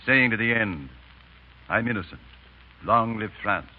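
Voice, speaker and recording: A man's voice: lowish voice, expressive, measured pace. An actor in a 1930s radio drama playing a grave, compassionate French man of letters, speaking with earnest drama. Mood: grave, moved. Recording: dull, hissy 1930s radio recording.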